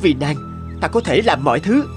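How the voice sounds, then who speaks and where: A devoted young hero of a cartoon fairy tale, played by a man. He speaks with earnest resolve in a fairly high, lively voice, with music behind him. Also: fairly quick, close to an everyday voice. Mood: tender, resolute. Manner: resolute, sincere, declarative. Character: earnest, devoted young male suitor, brave and stubborn. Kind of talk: dubbed folktale cartoon acting.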